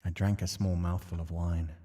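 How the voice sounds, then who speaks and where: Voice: deep voice, flat delivery, normal speed, mellow, slight background noise, pitched below the performer's own voice, natural voice. A performer reading a reserved, weary senior male office worker in a visual novel. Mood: reserved, resigned. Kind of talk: visual novel character read-aloud.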